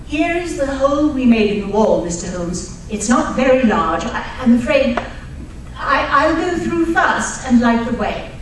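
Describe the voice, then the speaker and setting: A woman's voice: sits high, big pitch swings, a little slow. A woman playing a polite, helpful, well-bred lady in an old-time radio drama, speaking in a genteel, earnest manner. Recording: echoey live stage, distant mic, faint noise.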